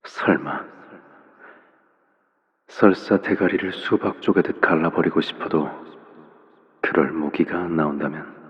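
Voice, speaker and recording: A man voicing a soft-spoken, menacing father figure of a crime syndicate in a video game, with gentle parental phrasing. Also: a little slow, pauses often. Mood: calm, veiled menace. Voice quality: very deep, low voice. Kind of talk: scripted video game voice acting. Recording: long reverb, dull muffled sound.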